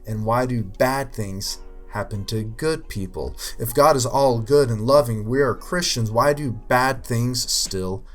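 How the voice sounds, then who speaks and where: A man's voice: medium pitch, measured pace, few pauses, faint music behind. Earnest young man explaining faith. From Ukraine. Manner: earnest, explanatory, with many fillers. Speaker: youth minister. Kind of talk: scripted apologetics talk.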